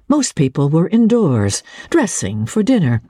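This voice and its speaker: Slow, husky, low-voiced woman narrator. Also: no echo, studio quality. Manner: measured, expressive, deliberate phrasing. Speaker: audiobook narrator. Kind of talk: dramatic literary reading.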